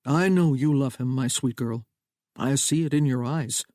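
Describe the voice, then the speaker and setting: A man voicing an older American father with a booming drawl, proud and protective of his daughter. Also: normal speed, darkish tone, dry recording, studio quality, close to an everyday voice. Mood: fatherly.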